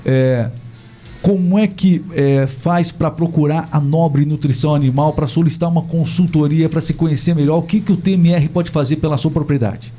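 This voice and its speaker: A man's voice: a little high, moderate pace, mellow, soft background music. A man hosting a studio podcast interview, chatty and full of tag questions. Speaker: podcast host. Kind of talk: casual interview chat.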